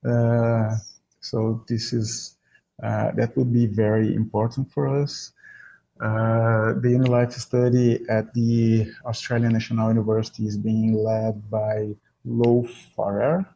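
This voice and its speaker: A man's voice: lowish voice, level tone, slow pace, creaky, dark tone, low-quality recording. A man with a Brazilian Portuguese accent, a native Portuguese speaker, explaining as an academic interview guest and searching for words.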